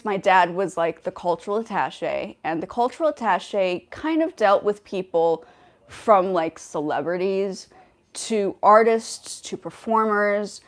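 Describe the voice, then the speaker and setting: A young woman hosting a casual livestream, talking in a rambling way with many fillers and restarts. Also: medium pitch. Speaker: livestream host.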